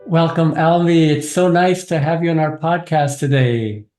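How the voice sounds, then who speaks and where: A man hosting a podcast interview, speaking in a gentle, encouraging way. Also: on the high side, normal speed, over music. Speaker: podcast host. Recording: echoey room.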